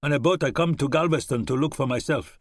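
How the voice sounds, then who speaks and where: A man voicing a young German immigrant and former soldier who speaks in halting, oddly ordered English. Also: high-pitched, fairly bright tone, dry recording, studio quality, voice pushed up. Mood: earnest. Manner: German accent, broken word order.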